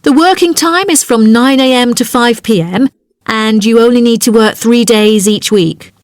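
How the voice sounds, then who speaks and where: A woman's voice: on the high side, moderate pace, no echo, studio quality, natural voice. A woman playing a polite, helpful job centre agent in a scripted language-test dialogue, courteous and businesslike.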